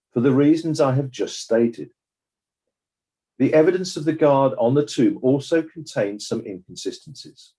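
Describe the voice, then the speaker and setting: A man playing a measured, judicious coroner, delivering a formal, deliberate summing-up in a dramatized courtroom inquiry. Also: medium pitch, warm tone, slightly rough, low-quality recording. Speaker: presiding judge of inquiry.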